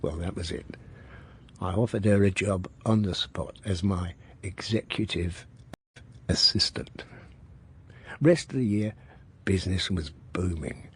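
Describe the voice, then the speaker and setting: An actor playing a boastful middle-aged working-class car dealer, reminiscing colloquially in a radio drama monologue. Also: male voice, lowish voice, a little mellow, breathy edge. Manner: colloquial, matter-of-fact storytelling.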